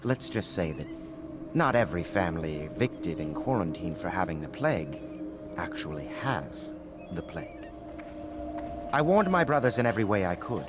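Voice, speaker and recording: Genteel game nobleman: low, formal, weary and conflicted, music underneath. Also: sing-song, moderate pace. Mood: regretful. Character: weary, conflicted aristocrat plotting against his brothers. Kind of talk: video game dialogue.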